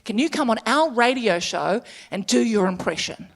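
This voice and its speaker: A woman's voice: on the high side, lively intonation, gravelly. Chatty New Zealand woman doing stand-up. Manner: chatty, self-deprecating, quick asides with laughter. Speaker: stand-up comedian. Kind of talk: stand-up comedy set. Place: comedy stage before a live audience.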